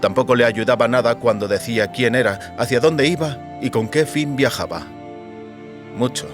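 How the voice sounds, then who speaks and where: A man with a mid-pitched voice narrates literary fiction. His delivery is scripted, dramatic storytelling, and faint music plays behind him. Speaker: audiobook narrator.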